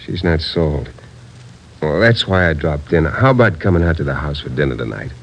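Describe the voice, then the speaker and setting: A man's voice: a bass voice, expressive, normal speed. An actor in his thirties playing a friendly married man who wants to join the police, in a 1950s radio drama. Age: 30s. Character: friendly 30-year-old married man wanting to be a cop. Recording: old radio recording with faint hiss.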